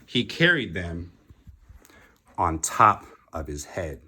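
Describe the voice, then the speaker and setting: Slow, animated man reading a picture book. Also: low-pitched, slightly rough. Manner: expressive, deliberate, with dramatic pauses. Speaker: storybook reader. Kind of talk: children's picture-book read-aloud.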